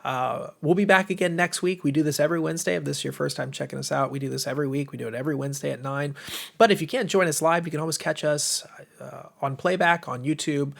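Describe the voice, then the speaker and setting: Gravelly American man hosting, voice giving out. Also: medium pitch, fairly bright tone. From United States. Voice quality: tired, strained voice. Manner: enthusiastic, informal, rambling. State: voice going, slightly hoarse. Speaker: livestream host, game publisher. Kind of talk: casual livestream chat to viewers.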